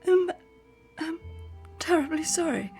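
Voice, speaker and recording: An actress in a radio drama playing a repressed, long-suffering wife of a cruel husband, with a formal, emotional delivery. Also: female voice, very high voice, slow pace, darkish tone, faint music behind. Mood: dazed, apologetic. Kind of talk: modern radio drama acting.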